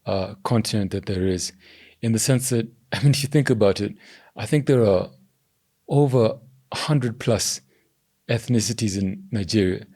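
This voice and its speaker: Man from Zambia, low and gravelly, measured, podcast guest. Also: warm tone. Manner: measured, hedging with "I think" and "you know". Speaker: podcast guest, governance strategist. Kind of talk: thoughtful interview answers.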